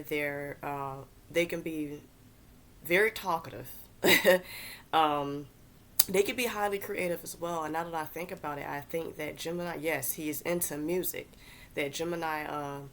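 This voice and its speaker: Female host, low register, stuffed-up sound, informal and halting unscripted delivery, slight rumbly background noise. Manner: halting, searching for words, repeats herself. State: congested. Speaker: astrology content host. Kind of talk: casual unscripted explainer.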